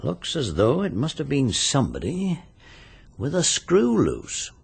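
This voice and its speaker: An actor playing a matter-of-fact, sceptical investigator with an English accent, speculating drily in a radio mystery drama. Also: male voice, fairly high pitch, huge pitch swings, unhurried, creaky, breathy edge, exaggerated voice. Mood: dry, speculative.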